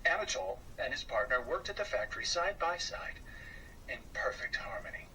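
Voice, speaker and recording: A man reading a children's book aloud as a storyteller, expressive and dropping to a hush at times, heard on a distant mic with faint background noise. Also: a little high, moderate pace.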